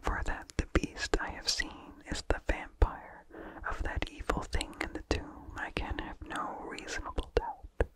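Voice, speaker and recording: A person reading a vintage horror story as ASMR in an unvoiced whisper, slowly and deliberately, in short phrases with pauses. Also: fairly bright tone, poor audio. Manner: slow, deliberate, pausing between short phrases. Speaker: narrator.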